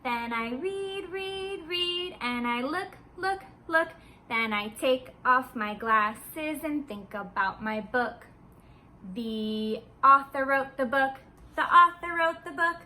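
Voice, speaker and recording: Female storybook reader, bright and unhurried, expressive sing-song delivery for children. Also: high voice.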